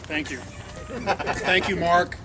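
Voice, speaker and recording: A man with a loud voice giving an earnest tribute speech outdoors. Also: sits high, very slow, a crowd in the background, rough recording. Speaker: club member giving a tribute. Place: outdoors at a radio club site.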